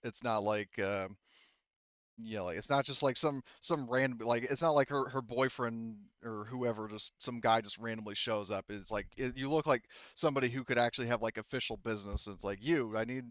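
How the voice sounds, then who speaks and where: A man's voice: medium pitch, a little fast, fairly bright tone, no echo, highs cut off. A man casually narrating a tabletop game as game master, rambling and joking as he goes.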